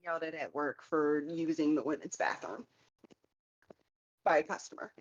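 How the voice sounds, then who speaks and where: Low-voiced woman on a dull, lo-fi mic. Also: fairly even intonation, moderate pace. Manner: plain, storytelling with quoted voices. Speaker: podcast co-host. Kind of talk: casual chat.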